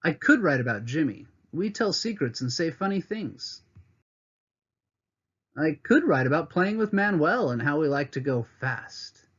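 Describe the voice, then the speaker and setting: Male teacher, read-aloud to kids, animated higher voice, slightly muffled webcam audio. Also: measured pace, breathy edge. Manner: expressive storybook reading with teacherly asides. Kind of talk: read-aloud lesson for children.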